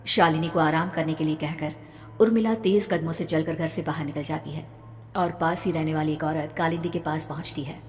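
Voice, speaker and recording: A woman's voice: low-pitched, fairly even intonation, fairly quick, darkish tone. A woman narrating a scripted horror story in a dramatic storytelling manner. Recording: reverberant, with background music.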